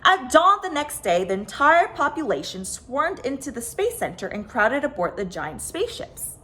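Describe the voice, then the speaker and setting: A woman reading a children's picture book aloud as a storyteller, with dramatic, expressive phrasing. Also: high-pitched, moderate pace, a little hiss or hum. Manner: dramatic, stressed sound words and phrases. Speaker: storyteller, host of a story time.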